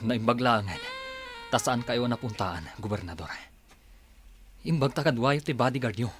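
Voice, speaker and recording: Actor as a worried, animated son. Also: male voice, mid-range voice, normal speed, music in the background, natural voice. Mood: anxious questioning. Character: worried, questioning son of a murdered father. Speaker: radio drama actor. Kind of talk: radio drama acting.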